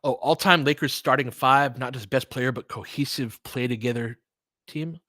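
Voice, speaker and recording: A man's voice: medium pitch, moderate pace, sharp tone, no echo. Man chatting casually about sports, very dry sound. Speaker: host of an audio play-by-play fan stream. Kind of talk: casual sports chat, answering listeners during play.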